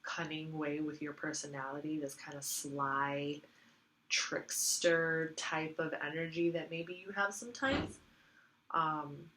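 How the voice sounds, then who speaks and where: Congested low-voiced woman reading tarot. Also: steady tone, takes it slowly, sharp tone, a little hiss or hum, rough recording. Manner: rambling, filler-heavy, repeats herself. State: congested from allergies. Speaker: tarot reader.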